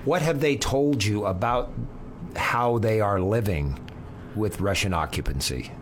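A man's voice: fairly low pitch, a little slow, a little hiss or hum. Polished male radio interviewer. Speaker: radio show host.

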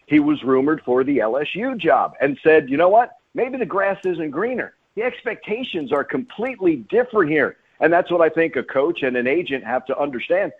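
A man's voice: fairly high pitch, animated, fairly quick, hardly any pauses, breathy edge. A man speaking as a radio guest and play-by-play announcer, giving emphatic sports commentary and repeating phrases for stress. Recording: phone-like narrow-band radio line.

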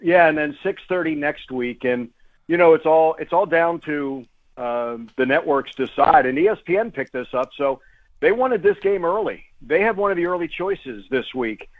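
A narrow, phone-like connection carries a man's somewhat high voice. He is a sports broadcaster giving analysis as a guest on talk radio. His delivery is conversational and emphatic, often repeating a phrase to make the point. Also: animated, moderate pace. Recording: tinny, phone-like guest line.

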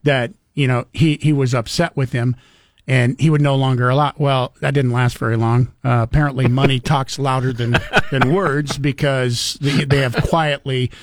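A man's voice: mid-range voice, steady tone, normal speed, no room sound, studio quality. A man hosting a morning talk radio show, chatting casually, laughing easily and rambling with fillers. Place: radio studio. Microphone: studio microphone.

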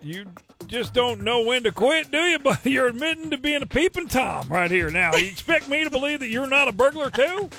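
A man playing a halting, self-important neighborhood watch captain in a prank phone call. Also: extremely high pitch, animated, bright, forceful tone, soft background music, full character voice. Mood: accusing.

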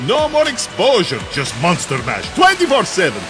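Evil vampire lord, super animated high voice, hammy radio play villain, loud music behind. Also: male voice, moderate pace. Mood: gloating. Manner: melodramatic, monologuing. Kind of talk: Halloween radio drama acting.

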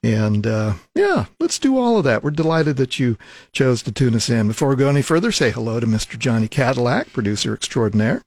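A man's voice: mid-range voice, sing-song, fairly quick, dry recording. A man hosting a radio talk show in a studio, easygoing, with many fillers and restarts. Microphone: studio microphone.

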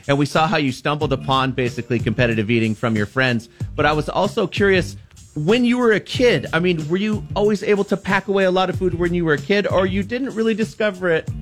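A man's voice: high-pitched, fast, soft background music. A man hosting a radio interview who asks his questions with easygoing enthusiasm. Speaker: radio host.

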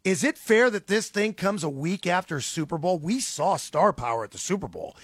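A man with a notably high voice hosts sports talk radio. He speaks in a casual, chatty style, and the sound is dry. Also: animated, normal speed, breathy edge, no room sound.

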